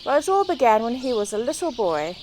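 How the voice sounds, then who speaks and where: A woman reads a children's storybook aloud in an expressive way to young listeners, recorded outdoors in a garden. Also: a little high, fairly quick. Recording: outdoor recording with clear background noise, birdsong. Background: birdsong.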